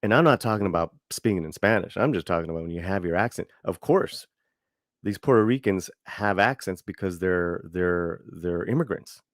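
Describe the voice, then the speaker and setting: A man's voice: low voice, expressive, normal speed, no room sound, studio quality. A man in a casual chat who rambles emphatically, restarting his sentences often.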